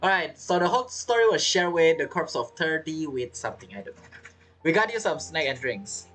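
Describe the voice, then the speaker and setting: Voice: medium pitch, lively intonation, fairly quick, a bit bright, faint music behind, poor audio. A game streamer giving casual let's play commentary in non-native English phrasing.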